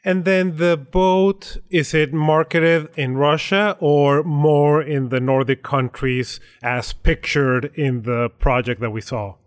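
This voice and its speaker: Male interviewer, conversational podcast hosting, moderately high pitch for a man. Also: steady tone, slow, hardly any pauses. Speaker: interview host.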